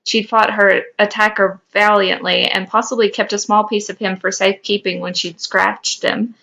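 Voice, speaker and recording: Bright-voiced woman reading fan fiction. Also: mid-range voice, level tone, normal speed, vocal fry. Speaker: podcast co-host.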